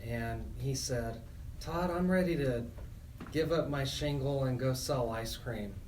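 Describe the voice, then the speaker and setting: A man's voice: mid-range voice, a little slow, a little mellow. An American man hosts a casual interview on the radio. The room echoes noticeably around him. He is slightly off the microphone, with faint noise. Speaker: radio host, attorney. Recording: roomy, distant mic with faint noise.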